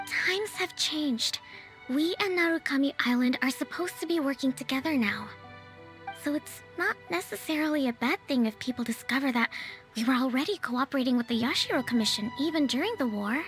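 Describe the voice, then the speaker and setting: A woman's voice: high voice, expressive, brisk, a bit bright, soft background music. An actress playing a poised, reassuring noblewoman leader in a video game, composed and polite. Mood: calm, reassuring.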